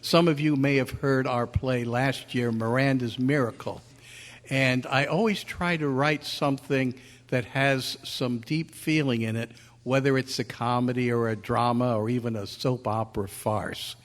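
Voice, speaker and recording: A man, a playwright, introducing his work to a live audience in a halting, earnest way, in a reverberant meeting room. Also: mid-range voice, fairly even intonation. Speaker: playwright and director. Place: large meeting room at a convention. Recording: echoey hall, long reverb.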